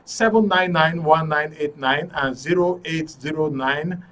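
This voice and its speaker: A man with a Nigerian accent and a husky voice, hosting a live call-in show. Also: on the high side, a little slow, fairly bright tone, far from the mic, poor audio. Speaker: radio and TV show host.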